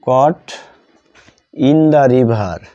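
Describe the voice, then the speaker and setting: A man with a Bengali accent teaching grammar step by step, dictating word by word in a halting, repetitive way. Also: mid-range voice, expressive, warm tone, muffled recording.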